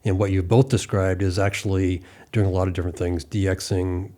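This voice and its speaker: A man's voice: deep voice, level tone, a little fast, warm tone. A man hosting an interview in a conversational style, commenting and putting questions to guests. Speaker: interview host.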